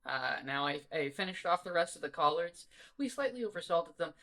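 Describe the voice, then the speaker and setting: A man co-hosting a podcast, telling stories in a rambling, animated, casual way and swearing freely. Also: a little high, fairly quick. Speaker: podcast co-host. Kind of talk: casual chat.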